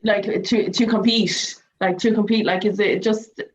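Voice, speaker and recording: A woman participant speaking casually, halting and rephrasing herself. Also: mid-range voice, fairly even intonation, a little hiss or hum. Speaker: workshop participant asking questions. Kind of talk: casual questioning. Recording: dull, lo-fi sound.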